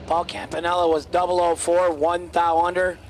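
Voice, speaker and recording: A man announcing drag races at an outdoor track in clipped, terse calls, his voice going hoarse. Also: high-pitched, monotone, normal speed, slight background noise. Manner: clipped, terse calls of lanes, dial-ins and reaction times. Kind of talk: race commentary.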